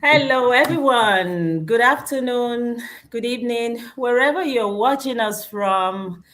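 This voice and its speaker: A woman hosting a live stream, speaking in a measured, earnest way with some restarts. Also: a little high, fairly bright tone, slight background noise.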